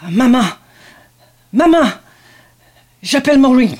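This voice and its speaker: A woman's voice: high-pitched, lively intonation, takes it slowly, darkish tone. A woman playing a needy, sardonic grown son devoted to his mother, in a dramatic script reading. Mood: worried.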